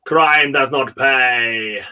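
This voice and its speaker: A man's voice: mid-range voice, very slow, sharp tone. A British man chatting casually and informally as host of a comics hangout. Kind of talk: casual chat.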